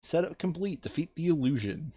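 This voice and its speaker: A man's voice: on the high side, lively intonation, brisk, slightly rough, no room sound, narrow, tinny audio. A man running a board game playthrough in casual, crude, joking chat, now and then reading game text aloud. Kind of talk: casual gaming chat with bits of read-aloud flavour text.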